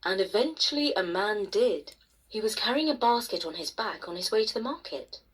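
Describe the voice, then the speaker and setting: A woman storyteller narrating a bedtime tale expressively, with dramatic pauses and emphasis. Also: mid-range voice, expressive, husky, low-quality recording. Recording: faint noise behind the voice.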